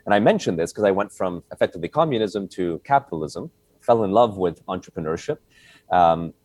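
A man in his forties from Hungary, answering interview questions casually with a halting delivery full of fillers. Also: low-pitched, lively intonation, a little mellow, dry recording. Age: 40s. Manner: halting, many fillers and restarts. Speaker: guest, company founder.

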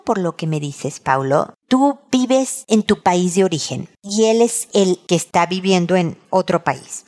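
Mexican woman host giving advice. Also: medium pitch. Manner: warm, reassuring, frequent tag questions. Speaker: podcast host and psychologist. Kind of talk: reading listener letters and giving advice.

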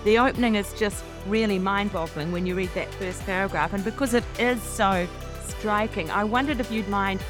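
Lady podcast host doing intros and interview questions, medium pitched voice, music clearly playing behind. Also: normal speed.

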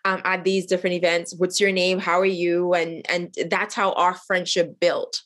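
A middle-aged woman from Haiti tells her story as an interview guest. Her voice sits a little low, and she speaks earnestly and reflectively, often pausing on fillers and restarting her sentences. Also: level tone, a bit bright. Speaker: guest, nonprofit cofounder.

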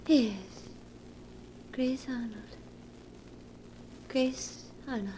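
An actress playing a dazed, deranged woman in 1940s radio melodrama, speaking in a breathy trance. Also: high-pitched, fairly even intonation, drawn-out words, dark tone. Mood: dazed, haunted. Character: dazed, deranged woman insisting on another identity. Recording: noisy vintage radio, distant from mic.

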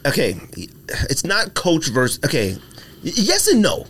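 A man's voice: fairly high pitch, wildly swooping pitch, normal speed, fairly bright tone, breathy edge. A man debating sports casually on a podcast, emphatic and repeating himself to press his point.

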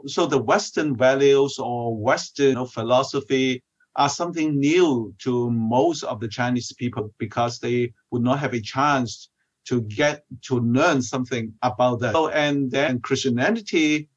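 A man from Hong Kong, a theologian, answers interview questions haltingly and leans on fillers. His pitch is ordinary. The sound comes through a muffled, lo-fi line. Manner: hesitant, with frequent "uh" and "you know". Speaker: guest theologian.

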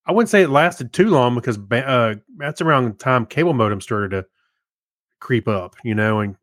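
A middle-aged man co-hosting a podcast, talking through tech topics in a halting, loosely phrased way. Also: medium pitch, expressive, a bit bright, no room sound, studio quality. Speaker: podcast co-host. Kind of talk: casual tech chat.

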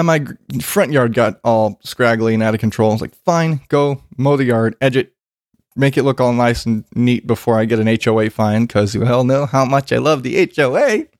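A man's voice: mid-range voice, no room sound, studio quality. A man with a congested voice ranting casually about yard work, swearing freely and rambling. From Arizona, US. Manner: profane, rambling, self-deprecating. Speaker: vlogger. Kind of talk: casual rant.

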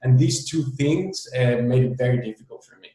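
A man's voice: medium pitch, dark tone. A man in his thirties, originally from El Salvador, speaking candidly as an interview guest, with many fillers and restarts. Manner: candid, fast-paced, hesitant restarts and fillers. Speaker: founder, guest. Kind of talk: casual interview talk. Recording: very echoey room.

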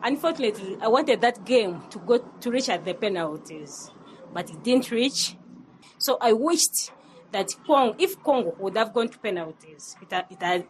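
A woman's voice: medium pitch, a little hiss or hum, poor audio. A woman from Uganda in a street interview, stumbling and repeating words.

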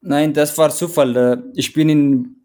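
A man's voice: on the high side, fairly even intonation, a little slow, mellow. A man from Eritrea with an Eritrean accent, a runner interviewed in a casual chat, speaking hesitantly with many restarts as he searches for words. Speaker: guest, mountain runner.

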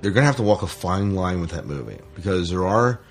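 A man's voice: sits low, expressive, fast talker, soft background music. A man co-hosting a podcast, talking casually through entertainment news in a chatty, opinionated way. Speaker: podcast co-host.

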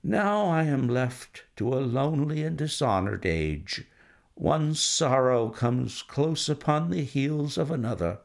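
A man's voice: medium pitch, lively intonation, slow pace, gravelly. A man voicing a distraught older male banker who pleads and exclaims anxiously. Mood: grieving.

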